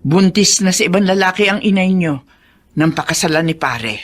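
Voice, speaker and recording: A woman's voice: fairly low pitch, moderate pace, lightly acted. A woman playing an elderly, earnest, gossipy family friend in a radio drama, speaking frankly. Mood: earnest, confiding. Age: older.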